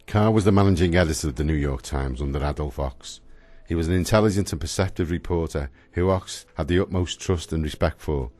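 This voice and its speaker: A man narrating a radio drama from a script in a solemn, measured manner. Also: extremely low pitch, a little mellow, soft background music.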